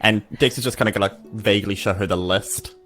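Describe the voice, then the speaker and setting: A man's voice: on the low side, fast talker, fairly bright tone, faint music behind. A man with a New Zealand accent playing a tabletop game, chatting casually in and out of character with a joking, bantering manner. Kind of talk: casual roleplay chat.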